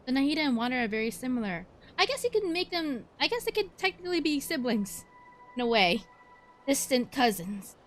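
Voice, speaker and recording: A woman's voice: high voice, very animated, fairly quick. A woman giving casual live game commentary, musing aloud about the story as she plays. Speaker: streamer playing a game live. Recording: game music behind. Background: game music.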